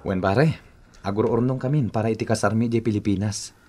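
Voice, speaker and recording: A man's voice: fairly low pitch, fairly quick, mellow, natural voice. A man acting an earnest, lovestruck Filipino migrant worker in an Ilocano radio drama. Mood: determined. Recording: old AM radio drama, music behind.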